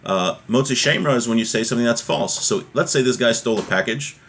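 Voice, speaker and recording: A man's voice: medium pitch, fast, fairly bright tone, a little hiss or hum. A man giving an informal religious lesson, reading and explaining as he goes and putting rhetorical questions to the listener. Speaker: religious teacher.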